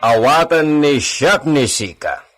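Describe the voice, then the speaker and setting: A man's voice: on the high side, lively intonation, slow pace, fairly bright tone, faint music behind. A man with an Ilocano accent playing a stern, curt, formal master of a villa in a radio drama. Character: stern, imperious master of a villa, Señor Esteban.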